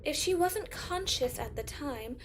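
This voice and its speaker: A woman's voice: sits high, animated, a little slow, music in the background. A woman playing a stern, impatient, haughty judge in a fantasy audio drama. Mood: exasperated. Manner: haughty, exasperated. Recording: faint background ambience.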